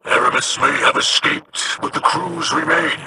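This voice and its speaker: A man voicing a grave, weary alien pirate captain in a video game, with a gravelly, formal delivery. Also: fairly high pitch, moderate pace, close to an everyday voice. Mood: solemn. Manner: solemn, formal. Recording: noisy game audio.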